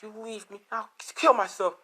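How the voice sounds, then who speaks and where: A man's voice: very high voice, expressive, quick pace, a bit bright, voice pushed up. A man voicing an obsessive, volatile young woman in a fan story, with a pleading, dramatic manner. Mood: desperate, threatening.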